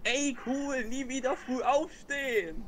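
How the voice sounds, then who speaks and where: A man playing a lazy, excitable, whiny schoolboy in a radio play. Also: very high-pitched, slow, crowd noise. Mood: delighted. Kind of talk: amateur radio play acting.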